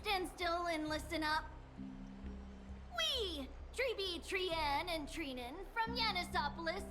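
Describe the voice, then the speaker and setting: A woman's voice: very high-pitched, full character voice. A woman voicing a playful, bubbly young girl gatekeeper in a video game, cheering and singsong. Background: loud game music.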